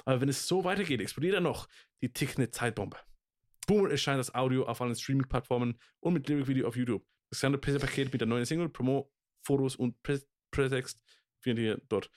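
A man in his twenties with a Swiss German accent, co-hosting a casual podcast and often pausing on fillers and restarts. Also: medium pitch. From Switzerland. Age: 20s. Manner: hesitant, lots of fillers and restarts. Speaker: podcast co-host. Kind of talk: casual chat.

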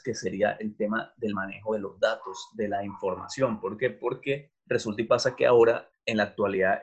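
A fairly low-pitched middle-aged man from Venezuela, speaking as an interviewed teacher in an easygoing, thinking-aloud way. He often pauses on fillers and restarts his sentences. The recording sounds dull and lo-fi. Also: slightly rough. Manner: thoughtful, many fillers and restarts. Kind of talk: casual interview.

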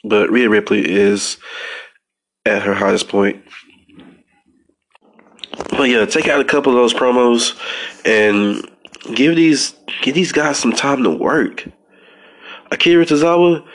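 A man's voice: mid-range voice, expressive, slow, long pauses, fairly bright tone. A man with a stuffy nose hosting a casual wrestling podcast, rambling with frequent restarts and fillers. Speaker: podcast host.

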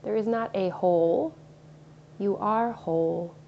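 A woman guiding a meditation in a slow, soothing, soft-spoken way. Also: medium pitch, gravelly. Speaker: meditation guide. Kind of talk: guided meditation. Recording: slightly dull recording with faint ambient noise.

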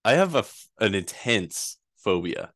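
A man in his thirties from Rhode Island, a guest answering interview questions in a relaxed, rambling way with frequent fillers. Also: lowish voice, measured pace, creaky, no room sound, studio quality. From Rhode Island, USA. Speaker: guest, privacy attorney.

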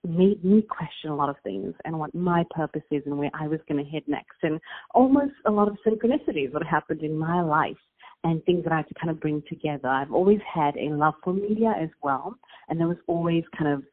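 A woman's voice: low voice, fairly quick. South African woman on a phone line. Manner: earnest, reflective, with fillers and restarts. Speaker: business founder, guest. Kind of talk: conversational interview answers.

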